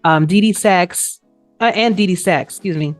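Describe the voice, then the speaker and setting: A woman's voice: lowish voice, normal speed. A woman co-hosting a sports show, giving a casual recap in informal, colloquial phrasing. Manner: informal, colloquial phrasing with trailing "you know". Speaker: co-host of a sports league show, also a player. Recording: roomy echo, music behind.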